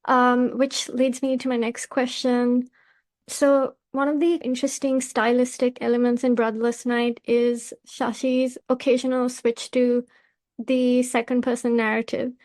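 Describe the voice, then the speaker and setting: A woman hosting a literary podcast, asking prepared questions in a measured, earnest manner. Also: a little high, little pitch movement, darkish tone, dry recording. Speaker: podcast host, interviewer.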